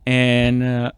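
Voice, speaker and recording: A man's voice: mid-range voice, smooth, no room sound, clean studio sound. A man giving a casual, rambling comedic monologue, self-deprecating and swearing freely. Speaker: comedian and podcast host.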